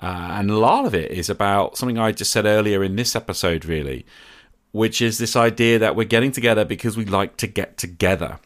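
A man's voice: on the low side, brisk. A man hosting a podcast, talking in a conversational way to his listeners. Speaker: podcast host.

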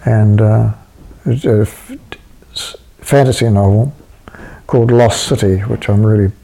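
A man's voice: fairly low pitch, a little slow, creaky, mellow, low-quality recording. A man being interviewed about his writing, talking casually and rambling with many restarts and fillers. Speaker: interviewed writer.

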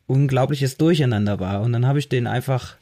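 A man's voice: mid-range voice, brisk, dark tone. A man co-hosting a podcast in casual chat, speaking in an amused, self-deprecating way. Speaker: podcast co-host.

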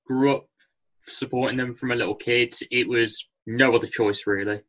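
A man's voice: mid-range voice, steady tone, moderate pace, fairly bright tone, lo-fi sound. A man chatting casually as a football fan guest. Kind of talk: casual chat.

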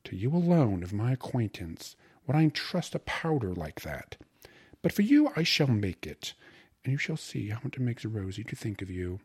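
A man voicing a careful, pompous druggist with stilted, formal and deliberate speech in an audiobook. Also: fairly low pitch, big pitch swings, a little mellow, husky, no echo, pitched above the performer's own voice. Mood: measured, solemn. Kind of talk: audiobook character voice.

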